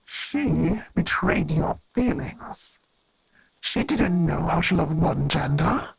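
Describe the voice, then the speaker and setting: A woman playing a sinister, seductive inner voice that coaxes and feeds obsession in a horror radio drama, husky and breathy. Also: low voice, animated, slow pace, no echo, heavily acted, low-quality recording. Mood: coaxing, scornful. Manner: coaxing, insistent.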